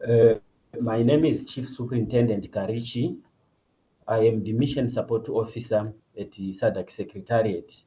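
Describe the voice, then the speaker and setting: A man's voice: fairly low pitch, fairly even intonation, moderate pace, warm tone, rough recording. A middle-aged man with a Zimbabwean accent, formally reading a presentation as a police officer over an online call. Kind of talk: formal presentation read from a paper. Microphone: video call.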